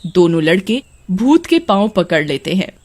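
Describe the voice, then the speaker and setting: A woman's voice: fairly low pitch, very animated, normal speed, darkish tone. A woman reading scripted story narration as a storyteller. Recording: music behind the narration.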